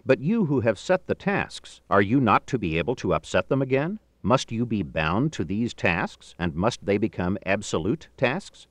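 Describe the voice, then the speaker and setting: A middle-aged man reading a philosophical book aloud in a formal, measured, emphatic way, with deliberate phrasing. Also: on the low side, sing-song, no room sound. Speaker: audiobook narrator.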